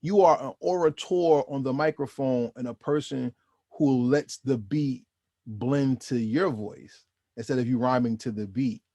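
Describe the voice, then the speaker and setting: A man hosting a hip-hop interview, talking in an emphatic, reflective way with drawn-out phrases. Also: medium pitch, mellow, no room sound. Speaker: interviewer host. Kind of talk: interview questions and commentary.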